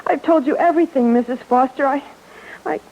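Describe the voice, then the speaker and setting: An actress playing an anxious, naive, excitable teenage girl in a 1950s radio drama, hesitant and deferential. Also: high-pitched, brisk, a little hiss or hum. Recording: dull old radio recording.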